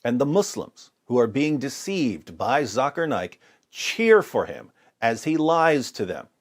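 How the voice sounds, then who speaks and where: A man's voice: mid-range voice, big pitch swings, unhurried. A man giving polemical religious commentary with scripture readings, in an emphatic, sarcastic and theatrical way.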